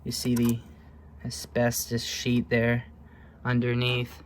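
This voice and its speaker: A man's voice: medium pitch, completely flat delivery, takes it slowly, slight background noise. A man giving a casual, unscripted show-and-tell as a hobbyist presenter, thinking aloud in an offhand, hesitant way. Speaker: hobbyist presenter showing vintage electronics.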